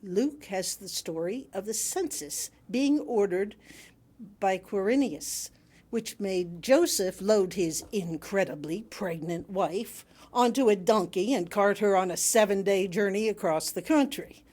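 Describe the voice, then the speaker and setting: A woman reading a sermon aloud to a congregation in a measured, emphatic way with wry humour. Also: medium pitch, expressive, darkish tone. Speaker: reader delivering another minister's sermon.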